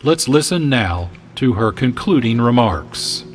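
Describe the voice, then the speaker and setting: A man working as an announcer, giving formal, measured scripted introductions for a radio drama. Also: mid-range voice, sing-song, faint music behind.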